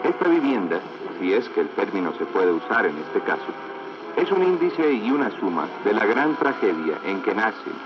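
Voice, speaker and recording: A man's voice: on the low side, big pitch swings, normal speed, highs cut off, noisy background. A man reads grave, formal documentary narration in an archive soundtrack. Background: music.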